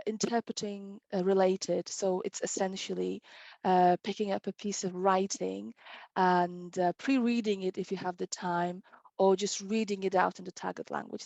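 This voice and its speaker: A woman's voice: mid-range voice, gravelly, no room sound. Enthusiastic Polish-born woman interpreter. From Poland. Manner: enthusiastic, animated, laughs often; self-described competitive. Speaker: interpreter, guest. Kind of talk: casual interview storytelling.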